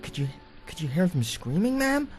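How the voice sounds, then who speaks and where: Radio drama role: male actor as prying young-blooded newshound reporter, insistent, stammering, very animated pitch, faint music behind. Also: moderate pace, breathy edge, exaggerated voice. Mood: urgent, prying. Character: eager, prying reporter pressing survivors with questions. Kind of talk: radio play acting.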